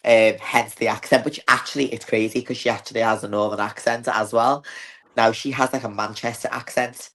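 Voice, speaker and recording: A woman's voice: very deep voice, steady tone, brisk. A woman with a Liverpool accent, chatting as a guest in an interview and filling her talk with "like". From north of England. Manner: chatty, many fillers like "like". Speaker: bodybuilder guest. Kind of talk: casual interview chat.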